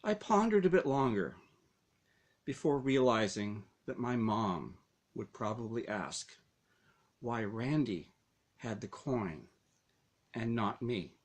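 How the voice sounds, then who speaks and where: Guy reading his own story out loud, really slow n deliberate, voice goes up and down a lot, kinda dramatic. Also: medium pitch, sing-song. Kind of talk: scripted first-person story narration.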